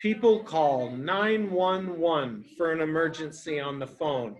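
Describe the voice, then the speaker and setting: A man's voice: a little high, measured pace, lo-fi sound. A man reading a picture book aloud to young children as a teacher, explaining things simply and asking them questions.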